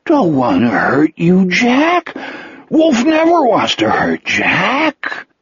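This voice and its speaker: A man's voice: fairly high pitch, wildly swooping pitch, moderate pace, creaky, slightly rough, dry recording, highs cut off, pitched above the performer's own voice, full character voice. A man voicing a big, simple, devoted wolfish creature in an audiobook story, with childlike, broken speech. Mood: distressed, concerned. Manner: simple broken speech, repeats own name.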